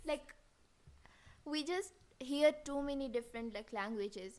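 A young woman with a high voice and a mixed, Kenyan-influenced accent chats as a podcast co-host. She talks loosely and giggles often, with "like" in nearly every sentence. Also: a little slow. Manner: giggly, chatty, fillers like "like". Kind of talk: casual chat.

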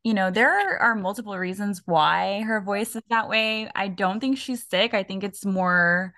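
Young woman co-host, chatty and loose, mid pitch, dry close sound. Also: normal speed, bright, forceful tone, no room sound. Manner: chatty, lots of likes and laughs. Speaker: podcast co-host. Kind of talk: casual chat.

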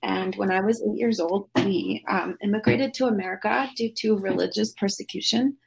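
A woman's voice: medium pitch, moderate pace, vocal fry, slight background noise, poor audio. A middle-aged woman from Russia, a podcast guest, talking in a chatty, rambling way with many fillers and restarts over a call. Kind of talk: casual remote interview. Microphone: phone or video call.

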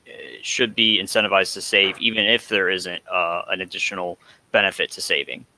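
A man speaks in a lowish voice with a very bright, sharp edge. His delivery is casual and a little halting as he explains. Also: fairly even intonation, poor audio. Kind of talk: casual discussion.

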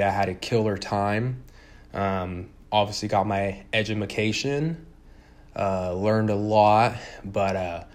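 A young man talking casually and haltingly on his solo podcast, using informal slang. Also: lowish voice. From California, born in Puerto Rico. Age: young adult. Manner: informal, slangy, hesitant with frequent pauses. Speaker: podcast host.

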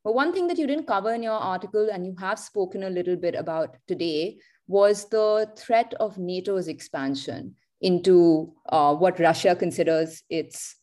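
A woman's voice: fairly low pitch, normal speed, few pauses, dark tone. A woman hosting an interview, framing long, reflective questions and hedging with "you know" and "sort of". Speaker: interview host.

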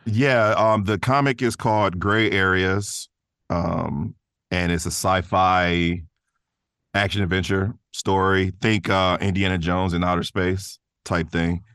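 Man, deep voice, dry close sound, modest easygoing manner, casual conversational storytelling. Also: fairly even intonation, slow pace, fairly bright tone, clean tone, no echo. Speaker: interview guest, voice actor and creator.

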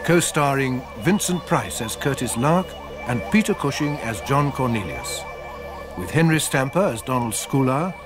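A man reading scripted closing credits as a radio announcer. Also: medium pitch.

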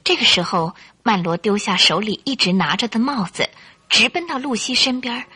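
A woman's voice: on the high side, lively intonation, moderate pace, sharp tone, breathy edge. A woman narrating a Mandarin radio drama as a dramatic storyteller.

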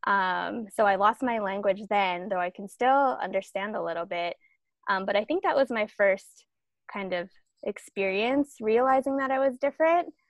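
A woman's voice: mid-range voice, moderate pace, dry recording. A young woman from Los Angeles, first language Tagalog, thoughtfully telling personal stories in casual conversation. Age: young adult. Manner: reflective, storytelling.

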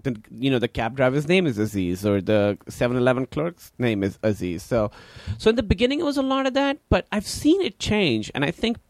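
A man's voice: medium pitch, big pitch swings, a little fast, few pauses, a little mellow, no room sound, studio quality. A man in his thirties with a Pakistani accent, a comedian chatting as a podcast guest, rambling with many fillers and restarts. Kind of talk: casual interview chat.

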